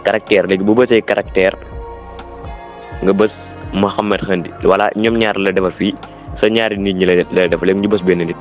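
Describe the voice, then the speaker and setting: Low-voiced Senegalese man giving a tutorial. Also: fairly even intonation, fairly quick, long pauses, highs cut off, soft background music. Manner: repetitive, instructive, with French words mixed in. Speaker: tutorial presenter. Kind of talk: informal step-by-step app tutorial.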